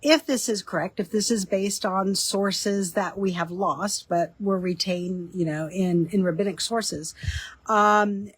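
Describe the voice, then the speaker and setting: A woman's voice: mid-range voice, a little slow, slightly rough, dry recording. Slightly nasal, stuffy woman explaining. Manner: relaxed and conversational, with frequent ums and restarts. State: stuffy from a cold. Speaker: author and teaching host. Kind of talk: casual teaching talk.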